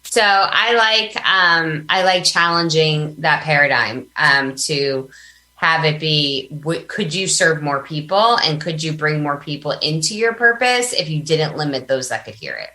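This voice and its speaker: A woman's voice: sits low, expressive, a little slow, sharp tone, roomy sound. An American woman speaking as a podcast guest, telling personal stories in an informal, emphatic conversational way. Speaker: podcast guest, business coach. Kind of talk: conversational interview, personal anecdotes.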